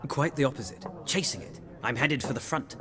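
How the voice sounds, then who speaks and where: An actor playing a pompous, eager, bookish scholar in a video game, speaking in a florid and theatrical manner. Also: male voice, mid-range voice, lively intonation, fairly quick, fairly bright tone, natural voice. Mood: lofty, earnest. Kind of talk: video game character dialogue. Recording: crowd chatter behind the voice.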